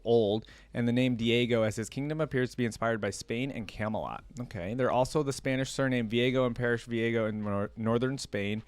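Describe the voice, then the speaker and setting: Guy doing casual reaction commentary, reads stuff out and chats about it, mid pitch, really dry room sound. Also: no room sound. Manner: conversational, reacting with interjections as he reads. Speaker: reaction video host. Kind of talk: casual commentary mixed with reading aloud.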